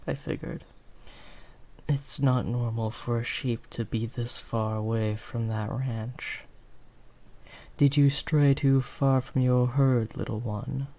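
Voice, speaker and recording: A man voicing a gentle, protective werewolf in an improvised comfort roleplay, speaking softly, soothingly and a little hesitantly. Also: medium pitch, fairly even intonation, takes it slowly, gravelly. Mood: gentle, reassuring. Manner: soft, soothing, hesitant, often near whispering. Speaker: roleplay audio performer.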